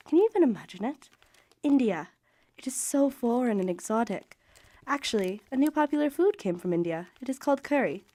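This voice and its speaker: An actress playing an affectionate young married Victorian mother reading her letters in a radio drama, with genteel, expressive period diction. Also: a little high, lightly acted. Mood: excited, curious.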